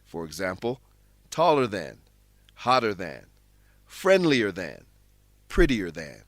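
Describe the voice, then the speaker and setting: A man narrating a scripted English lesson in a deliberate, explanatory manner, like a teacher. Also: low voice, huge pitch swings, a bit bright, no echo.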